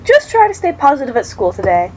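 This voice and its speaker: Calm patient mom, read by a woman, soothing, bit high, lo-fi sound. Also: fast talker, a little hiss or hum. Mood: reassuring. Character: calm reassuring mother figure.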